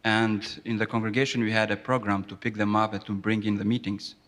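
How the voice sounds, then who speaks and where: Earnest man from Kosovo, slightly low voice. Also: level tone, brisk, a bit bright, in a large hall. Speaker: interviewee. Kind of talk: formal interview testimony.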